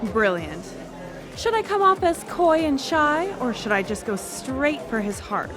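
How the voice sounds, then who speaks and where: A woman's voice: sits high, very animated, unhurried. A woman playing a sly, seductive corrupter of souls in a radio play, teasing and sardonic, with music and people behind her. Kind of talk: live radio play acting. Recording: music and crowd sounds behind.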